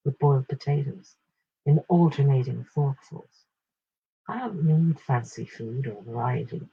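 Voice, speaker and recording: A woman narrates a short story with a husky edge to her voice. She reads in measured, expressive phrases at a middling pitch. The recording is dry and slightly dull, like a modest home setup. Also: no room sound.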